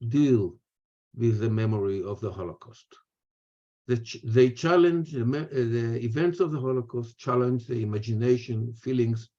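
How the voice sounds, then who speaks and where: Older israeli guy giving a lecture on a video call, mellow voice, kinda muffled audio, measured phrases. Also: medium pitch. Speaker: speaker, retired history professor. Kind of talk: prepared lecture in a webinar.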